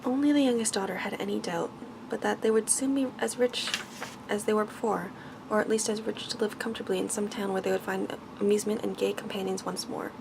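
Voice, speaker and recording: A soft-spoken woman reads a bedtime story in a gentle, careful ASMR style, often dropping toward a whisper. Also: medium pitch, fairly even intonation, brisk, vocal fry, background noise. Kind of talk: ASMR bedtime story reading, scripted narration.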